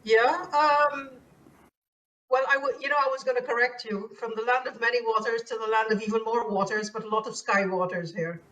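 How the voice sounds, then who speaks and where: A woman's voice: fairly high pitch, fairly even intonation, fairly quick, a bit bright, distant mic, low-quality recording. A woman from Guyana, an author answering interview questions in a thoughtful, hesitant way with many fillers. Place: abroad in the Netherlands.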